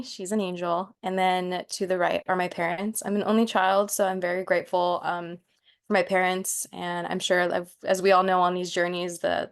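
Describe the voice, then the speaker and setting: A young woman in her twenties, born in Mexico, giving an encouraging, casual presentation on a video call with fillers. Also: mid-range voice. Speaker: PA student speaker. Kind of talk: online workshop presentation.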